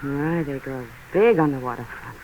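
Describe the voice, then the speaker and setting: An actress playing a cool, teasing racehorse owner, arch and dryly flirtatious, in a 1940s radio crime drama. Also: female voice, a bass voice, expressive, moderate pace, a little mellow. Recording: dull, noisy vintage radio broadcast.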